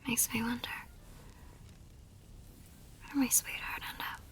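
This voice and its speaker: A woman playing a tender, wistful young army nurse in an ASMR romance roleplay, with a soft, breathy voice that often drops toward a whisper and an emotive delivery. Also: unhurried, natural voice. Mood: wistful, mournful. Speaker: voice actor in a romance audio roleplay. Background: music.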